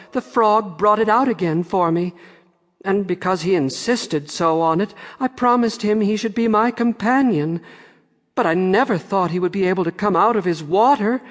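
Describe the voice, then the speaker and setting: A man's voice: high-pitched, pitched above the performer's own voice, natural voice. A man voicing a frightened, petulant young princess in a storybook, with long reverb on the recording. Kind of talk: storybook character voice.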